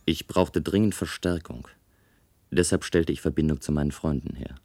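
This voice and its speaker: An actor playing a wistful, stubborn male inventor who narrates a radio play in a measured, reflective way. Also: extremely low pitch, dark tone. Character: male inventor, wistful, stubborn, recounting his bold project. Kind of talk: German radio play acting.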